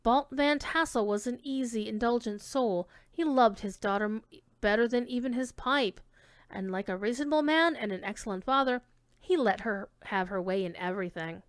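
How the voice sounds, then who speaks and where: A woman giving an expressive dramatic reading of classic literary narration, with emphasis on key words. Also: a little high, normal speed, smooth, no room sound, clean studio sound.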